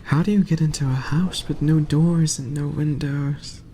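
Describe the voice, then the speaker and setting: A man reading aloud in a soft ASMR bedtime style, hushed and often slipping into a whisper. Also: on the high side, fairly even intonation, moderate pace, slight background noise.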